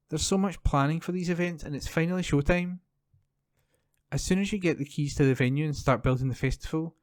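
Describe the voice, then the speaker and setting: A man reads newspaper articles aloud. His voice sits a little high for a man. The sound is dry, with no room echo, as a volunteer recording at home. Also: darkish tone. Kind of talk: reading news articles aloud.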